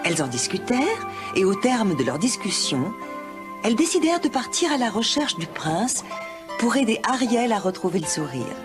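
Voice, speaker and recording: A woman narrating a children's animated fairy tale as a scripted storyteller. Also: on the high side, normal speed, music in the background.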